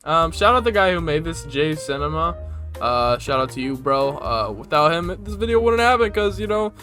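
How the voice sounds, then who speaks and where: A man gives informal reaction commentary full of slang. His voice is fairly high, bright and clean, recorded dry over faint music. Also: expressive, normal speed. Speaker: reaction video host.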